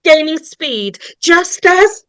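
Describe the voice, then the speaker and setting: A woman with a high voice reads screenplay stage directions as the narrator of a horror table read. She takes her time, leaving suspenseful pauses between short phrases. The sound is dry and close. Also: lively intonation, fairly bright tone, no room sound. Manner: suspenseful, deliberate, with dramatic pauses.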